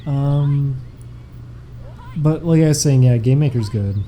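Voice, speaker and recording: A young man chatting casually on a game stream, reading out donation messages in a relaxed, meandering way. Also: medium pitch, slow, a little hiss or hum.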